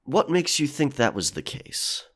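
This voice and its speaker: A man's voice: medium pitch, sing-song, measured pace, fairly bright tone, breathy edge, heavily acted. A man playing a roguish, guarded pirate in an audio drama, with a sly, curt way of talking. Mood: cold, grumbling. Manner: sly, teasing, curt when pressed. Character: Grayson, roguish guarded male pirate from London's gutters.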